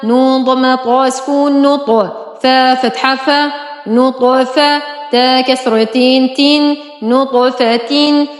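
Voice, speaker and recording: Female teacher, very measured pace, deliberate drawn-out syllables for a phonics drill, reverberant sound with music behind. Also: sits high, level tone. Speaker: Quran reading teacher. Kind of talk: slow spelling-out Arabic phonics drill.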